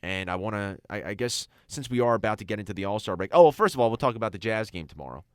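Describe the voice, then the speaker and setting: A man's voice: lowish voice, expressive, rapid-fire, smooth, no room sound, clean studio sound. A young man co-hosting a sports radio show, chatting casually and giving his opinions. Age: young adult.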